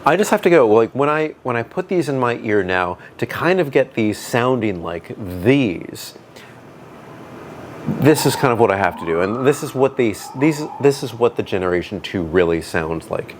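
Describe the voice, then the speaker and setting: A man with a booming voice giving a casual product review, thinking aloud with fillers and restarts. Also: expressive, normal speed, a little hiss or hum. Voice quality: booming deep voice. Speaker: tech reviewer. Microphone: dedicated microphone.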